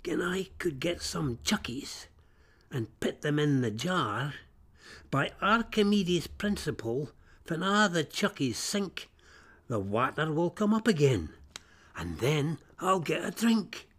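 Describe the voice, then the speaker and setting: A man's voice: fairly high pitch, sing-song, slow pace, a bit bright, slightly rough, heavily acted. A man voicing a parched, desperate but clever crow in a Scottish storybook fable, with a pleading, dramatic delivery. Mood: hushed, hopeful.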